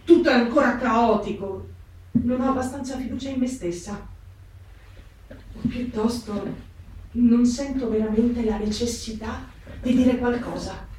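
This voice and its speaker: A woman's voice: on the high side, a little slow, pauses often, darkish tone, a little hiss or hum, natural voice. Actress as introspective young woman, echoey and distant. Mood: pensive, searching. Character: introspective, restless young woman diarist baring her soul. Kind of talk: dramatic stage reading of a diary. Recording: echoey room, distant mic, music behind. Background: plucked guitar music.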